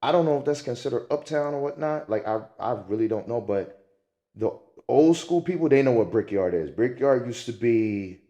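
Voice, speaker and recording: A man's voice: mid-range voice, expressive, normal speed, warm tone, echoey room. A young man telling stories in a casual, slangy way, leaning on fillers like "and whatnot". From raised in the Middle East and North Africa. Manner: laid-back slangy storytelling, frequent "and whatnot". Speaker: podcast guest. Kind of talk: casual conversation.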